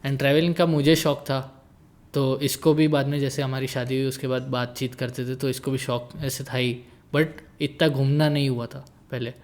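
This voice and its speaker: A man's voice: medium pitch, flat delivery, normal speed, darkish tone. A man in his late twenties, a guest in a casual conversation, talking in an easygoing, joking way and slipping English phrases into his sentences. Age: 20s. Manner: jokey, easygoing, mixes English into Hindi.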